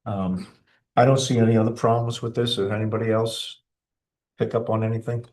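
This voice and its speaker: A man's voice: lowish voice, little pitch movement, fairly quick, a little mellow. A man chairing a town board meeting in an informal, plain-spoken way.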